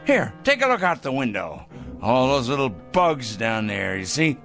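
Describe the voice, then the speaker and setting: An actor playing a deranged, self-righteous crank in an audio drama, ranting gleefully and muttering to himself. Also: male voice, mid-range voice, sing-song, moderate pace, creaky, fairly bright tone, soft background music. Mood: scornful, self-righteous. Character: gleeful deranged crank bent on judging evil people. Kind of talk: theatrical audio drama acting.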